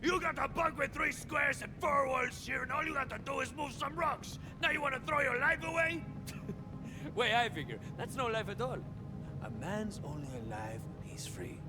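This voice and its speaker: An actor playing a swaggering young cyborg soldier of fortune in a video game, a touched flavor, musing theatrically and landing wry deadpan punchlines. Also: male voice, very high-pitched, normal speed, big-room sound, over music. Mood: defiant, wry. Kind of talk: video game character monologue.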